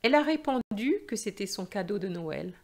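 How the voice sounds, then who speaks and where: A woman narrating a scripted story for language learners in a deliberate, carefully phrased and expressive way. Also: medium pitch.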